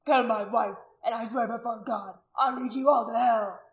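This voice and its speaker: A woman's voice: on the high side, slow, bright, forceful tone. A woman narrator playing an anguished warrior husband in an audiobook, tearful, intense and defiant. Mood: defiant threat.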